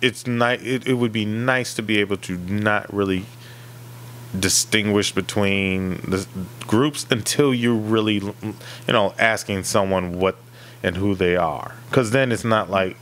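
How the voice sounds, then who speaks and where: Deep-voiced man with faint hum. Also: a little slow, fairly bright tone. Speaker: podcast co-host. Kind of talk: casual discussion.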